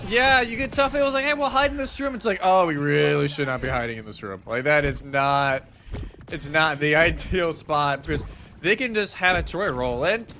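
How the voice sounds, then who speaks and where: Bright punchy guy voice, a bit high, doing casual esports color commentary. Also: animated, normal speed. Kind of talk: casual analytical match commentary.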